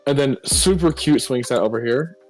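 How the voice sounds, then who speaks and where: A man's voice: mid-range voice, moderate pace. A man co-hosting, chatting casually as he guides viewers on a game tour. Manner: chatty, upbeat guide talk. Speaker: co-host giving a game tour. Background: background music.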